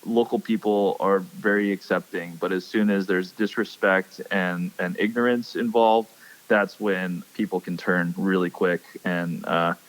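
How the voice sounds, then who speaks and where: A young man from Hawaii, a pro angler, chatting as a podcast guest and speaking haltingly with many fillers. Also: low-pitched, steady tone, poor audio. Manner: hesitant, many fillers and restarts. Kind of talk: casual podcast chat.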